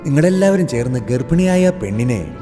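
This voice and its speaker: A man voices a bodiless god passing stern judgment in a devotional cartoon. The voice is dark and mellow, wrapped in long reverb, with faint music underneath. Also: medium pitch, sing-song, moderate pace, close to an everyday voice. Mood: stern, solemn. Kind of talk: animated devotional story voice acting.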